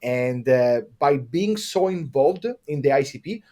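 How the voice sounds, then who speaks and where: A man with an Italian accent, a podcast guest telling his story in casual, enthusiastic talk with frequent pauses. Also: medium pitch, expressive, mellow. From Italy.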